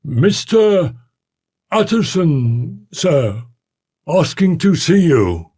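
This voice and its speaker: A man's voice: mid-range voice, drawn-out words, mellow, husky, pitched above the performer's own voice, full character voice. A man voicing a frightened, loyal butler in a storybook reading, urgent and deferential, with a harsh, strained voice. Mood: raised, strained calling. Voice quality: harsh, broken voice. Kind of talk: dramatic audiobook character reading.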